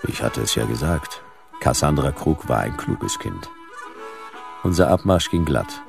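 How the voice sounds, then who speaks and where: An actor playing a wry, hard-boiled detective in a sci-fi radio drama, with dry, laconic, sardonic delivery. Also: male voice, very deep voice, expressive, a little slow, music in the background, heavily acted. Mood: wry narrating. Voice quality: gravelly. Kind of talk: noir radio drama dialogue and first-person narration.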